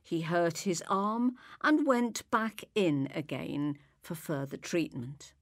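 Unhurried, expressive female reader. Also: lowish voice, no room sound, clean studio sound. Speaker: magazine programme reader. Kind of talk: scripted narration.

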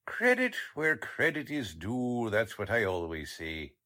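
A man's voice: on the low side, sing-song, measured pace, pushed and bright, full character voice. A man acting a cheerful, proud, unpolished police lieutenant with folksy bluster in a 1940s-style radio drama. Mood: good-natured, self-satisfied. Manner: folksy, ungrammatical, good-natured bluster.

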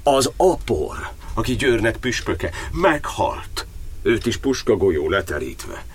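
Husky, impassioned, grieving churchman. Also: lowish voice, sing-song. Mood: mournful. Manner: impassioned, declamatory. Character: fervent, grieving churchman preaching faith amid war. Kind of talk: poetic dramatic monologue, radio play.

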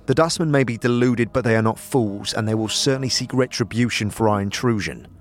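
A man's voice: mid-range voice, fairly quick, close to an everyday voice. A man voicing a scheming letter writer who gives disdainful, formal instructions in an audio drama. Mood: condescending, brisk.

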